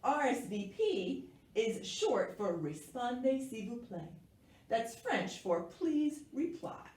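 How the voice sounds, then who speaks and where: A woman's voice: fairly high pitch, very animated, takes it slowly, a little mellow, voice pushed up. A woman voicing a dramatic, precocious little girl who loves fancy French words in a children's picture book. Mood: excited, proud. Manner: theatrical, precocious. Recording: echoey room, distant microphone.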